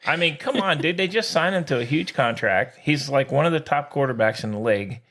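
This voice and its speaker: A man's voice: mid-range voice, lively intonation, brisk, a bit bright. An American man co-hosting a sports podcast, chatting casually in a slangy, exclamatory, teasing way.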